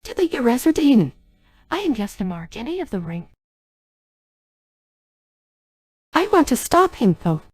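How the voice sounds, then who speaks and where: A very high, swooping young genie girl, voiced by a woman for a game dub. She is gentle and apologetic, with a breathy, thin tone and stilted synthetic earnestness, recorded very dry. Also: big pitch swings, fairly quick, no echo. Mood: earnest wish. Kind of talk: AI text-to-speech dub of game cutscenes.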